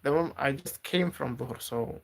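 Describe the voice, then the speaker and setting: A man from Africa, not a native English speaker, chatting live with viewers and giving gentle advice, halting and repeating words. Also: medium pitch, creaky. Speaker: livestreamer. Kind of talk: casual live-stream chat with viewers.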